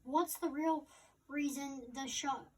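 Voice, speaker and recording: A woman co-host reading interview questions aloud in a halting way. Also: sits high, fairly even intonation, darkish tone. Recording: distant microphone.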